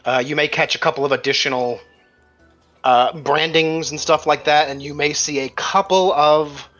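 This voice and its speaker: A man with a bright, forward voice, pitched a little high, narrates a tabletop game as its game master. He talks casually and conversationally. The audio is band-limited, with music underneath. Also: fairly even intonation, moderate pace, lo-fi sound.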